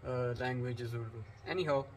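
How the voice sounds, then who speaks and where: A man from Pakistan talks through an English lesson in a flat, level voice that barely rises or falls. He rambles with frequent fillers and restarts. Faint background noise sits under the recording. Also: mid-range voice, brisk. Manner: flat delivery. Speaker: English teacher. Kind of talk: unscripted lecture.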